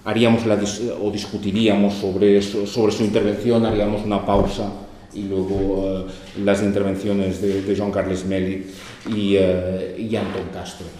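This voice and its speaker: A man's voice: on the low side, flat delivery, moderate pace, mellow. A man moderating a conference session and introducing the speaker, talking hesitantly with many fillers and restarts. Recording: echoey hall with faint noise.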